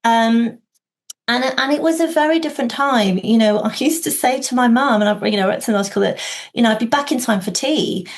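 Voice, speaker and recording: A woman's voice: fairly high pitch, a little fast. A woman in her forties from Wales, speaking as a podcast guest, talking reflectively with many fillers and restarts. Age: 40s. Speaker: podcast guest, outdoor learning specialist. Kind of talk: casual conversation.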